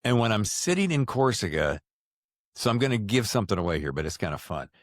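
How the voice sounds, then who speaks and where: A man hosts a relaxed, conversational interview. His voice sits on the low side but swings widely in pitch. He is folksy and informal, and the recording is close and dry. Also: fast talker, no echo. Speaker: podcast host. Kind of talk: casual conversational interviewing, storytelling.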